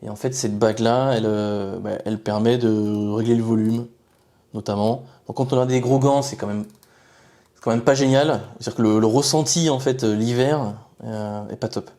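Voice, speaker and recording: A man giving an informal product review, explaining things haltingly and often rephrasing mid-sentence. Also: fairly low pitch, fairly even intonation, warm tone. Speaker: motorcycle gear reviewer.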